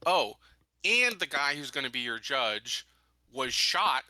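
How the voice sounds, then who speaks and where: A man talking casually as a player in a tabletop roleplaying game, thinking aloud in a deliberate, halting way. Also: mid-range voice, big pitch swings, bright, forceful tone, no room sound. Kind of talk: casual in-character and out-of-character game talk.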